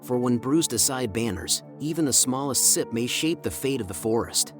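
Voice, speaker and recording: A man's voice: mid-range voice, lively intonation, moderate pace. A man narrating a scripted fantasy story in a dramatic, ornate storyteller manner. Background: music.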